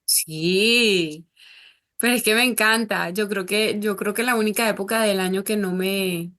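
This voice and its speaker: A woman's voice: medium pitch, a little slow, fairly bright tone. A Colombian woman speaking as an interview guest, earnest and reflective as she talks. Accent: Colombian Spanish. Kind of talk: casual interview conversation.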